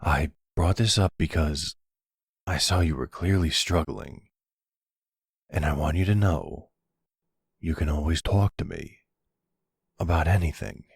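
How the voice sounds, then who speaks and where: A man plays a charming mob boss at his most tender. His voice is extremely low and husky, and he speaks slowly and reassuringly, as a devoted middle-aged partner in an ASMR roleplay. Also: no room sound, studio quality, full character voice. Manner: gentle, reassuring, deliberate.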